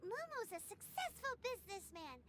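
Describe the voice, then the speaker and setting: Game fairy mascot: very high, squeaky, childlike, swooping pitch, blunt and bubbly. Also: female voice, big pitch swings, normal speed, heavy background noise. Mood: cheerful. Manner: exclamatory, cheeky. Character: small, bubbly, blunt floating fairy companion. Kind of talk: video game voice acting. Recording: loud game music behind.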